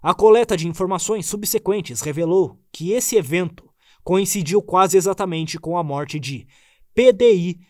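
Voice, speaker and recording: A man narrating a formal report in a measured reading. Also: sits high, no echo, studio quality.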